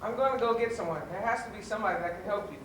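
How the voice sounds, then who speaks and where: A worried, principled child friend played by a woman in a stage play. The medium-pitched voice is distant, in an echoey and noisy recording. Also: very fast, lightly acted. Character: concerned, disapproving young child friend.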